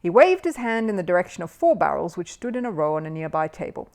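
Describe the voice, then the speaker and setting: A woman reading a children's book aloud as an expressive storyteller. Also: lowish voice, quick pace.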